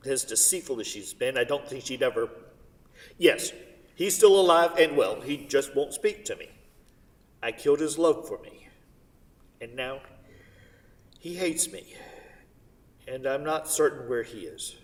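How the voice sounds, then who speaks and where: A man's voice: mid-range voice, animated, measured pace, long pauses, lightly acted. Regretful, moneyed father played by a male actor, controlling yet pleading, stage reading heard from afar in a reverberant hall. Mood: wounded, resigned. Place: theatre hall. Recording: distant mic in echoey hall.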